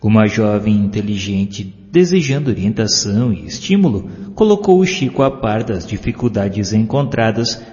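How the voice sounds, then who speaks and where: A man with a Brazilian accent narrates a scripted inspirational story in a measured, solemn, literary way. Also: on the low side, big pitch swings, darkish tone. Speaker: audiobook narrator. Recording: dull lo-fi, long reverb, music behind. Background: music.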